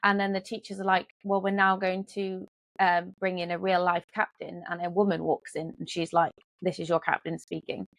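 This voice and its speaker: A woman's voice: on the low side, level tone, normal speed, no echo, rough recording. A woman guest on a podcast, earnestly retelling something she saw in casual chat.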